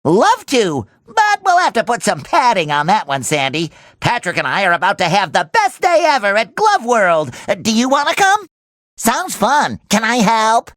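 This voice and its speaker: A man voicing a cheerful, naive young talking sponge in a cartoon video game, with a squeaky, nasal, exaggerated delivery. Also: huge pitch swings, normal speed, fairly bright tone, dry recording, clean studio sound, exaggerated voice. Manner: exaggerated, earnest, eager delivery.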